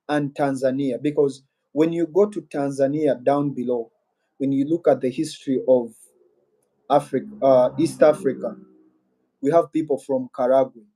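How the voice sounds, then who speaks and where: A man's voice: fairly high pitch, warm tone, poor audio. A man with a Ugandan accent hosting a casual live-stream Q&A, rambling and musing aloud as he reads out viewer comments. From Western Uganda.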